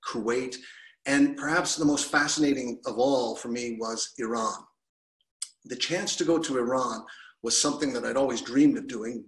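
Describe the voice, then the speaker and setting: Middle-aged man, lively storyteller, mid-pitched voice, roomy webcam sound. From Canada. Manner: animated, gestures a lot with both hands. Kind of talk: personal storytelling to an online audience. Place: living room at home. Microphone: video call webcam.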